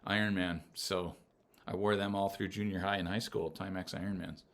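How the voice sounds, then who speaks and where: A man hosting a casual podcast chat, teasing his guest and laughing often, with many halting restarts. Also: low voice, little pitch movement, a bit bright. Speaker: podcast host.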